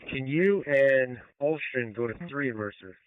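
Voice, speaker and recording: A man's voice: mid-range voice, moderate pace, clear, resonant tone, dry recording. A man giving brief, directive instructions in police radio traffic over a two-way radio. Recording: noisy phone-like scanner radio with clicks.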